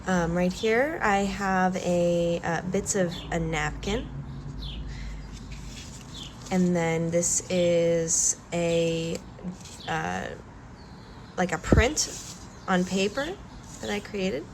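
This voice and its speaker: A woman speaks in a low-pitched, slow, soft-spoken way, with the slightly stuffy nose of a cold. She talks hesitantly with many pauses and fillers, like a calm art vlogger showing her work. Steady background noise sits behind her voice. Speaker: collage artist vlogger. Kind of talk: calm art-demonstration vlog.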